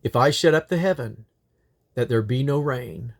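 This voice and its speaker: A man's voice: medium pitch, normal speed, vocal fry. A man reading scripture aloud in a solemn, declamatory way, with the occasional slip and correction. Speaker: Bible reader.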